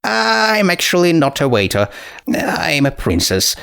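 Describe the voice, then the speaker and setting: A man's voice: fairly high pitch, big pitch swings, measured pace, vocal fry, sharp tone, smooth, pitched above the performer's own voice, heavily acted. A man voicing a sceptical young female pony princess who speaks hesitantly and with awkward politeness. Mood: awkward, puzzled.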